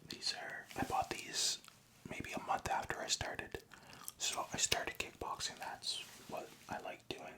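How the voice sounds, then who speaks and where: Softly whispering young man. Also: a little slow. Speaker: vlogger. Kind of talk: whispered ASMR storytelling.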